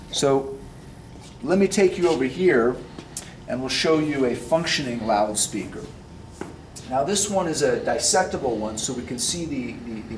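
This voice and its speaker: A man in his forties explains a science demonstration step by step, like a physics teacher, in a deliberate way. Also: a little mellow. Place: classroom science lab, indoors. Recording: roomy, distant mic, background rumble.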